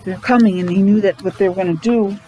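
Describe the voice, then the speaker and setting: A woman reading a picture book aloud to young children, expressive, adding explanations and questions along the way. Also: medium pitch, fast, a little hiss or hum. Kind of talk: animated read-aloud storytelling with asides to young children.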